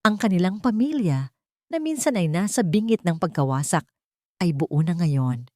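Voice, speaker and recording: A woman narrating a sentimental love story in measured, dramatic short phrases. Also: on the low side, expressive, a little mellow, no room sound, clean studio sound.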